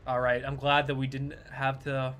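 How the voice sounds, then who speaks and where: A young man streaming a game, chatting casually in a laid-back, chuckling way with a fairly high-pitched voice. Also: completely flat delivery, normal speed, a bit bright, soft background music. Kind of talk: casual live gameplay commentary.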